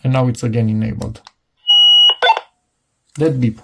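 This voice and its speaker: A man's voice: medium pitch, fairly even intonation, measured pace, creaky, very noisy. A middle-aged man giving a casual, unscripted product demonstration, hesitant and rambling as he thinks aloud. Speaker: product reviewer.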